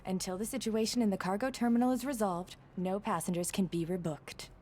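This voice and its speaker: A woman's voice: mid-range voice, fairly quick, mellow, slight background noise, lightly acted. An actress playing a polite but firm woman terminal clerk in a video game, speaking formally and officially. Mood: apologetic, firm.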